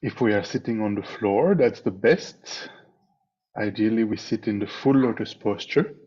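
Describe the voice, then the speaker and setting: A man leading a guided meditation as a teacher, speaking in a calm, measured way with long pauses between phrases. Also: on the low side, husky. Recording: dull, muffled online meeting audio.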